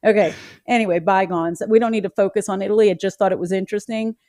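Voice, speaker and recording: A woman's voice: mid-range voice, fairly even intonation, fast talker, warm tone, dry recording. A woman with a mid-range voice hosts a podcast interview. She asks curious questions in a chatty, rambling way. The sound is dry and close. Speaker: podcast host. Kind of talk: casual interview chat.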